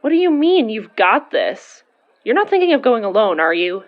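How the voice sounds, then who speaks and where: An actress playing a protective, blunt young sidekick in audio fiction, talking in an informal, wry and emphatic way. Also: female voice, on the high side, big pitch swings, normal speed, muffled recording. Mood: protective, insistent. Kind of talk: audio fiction dialogue acting.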